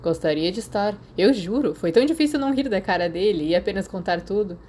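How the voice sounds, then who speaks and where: A woman's voice: medium pitch, lively intonation, fast, mellow, close to an everyday voice. A woman narrator plays a cheeky teenage boy wizard with a Brazilian accent. He is theatrical and teasing, full of mock indignation, in an audiobook story with light background noise. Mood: amused. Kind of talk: audiobook character voice.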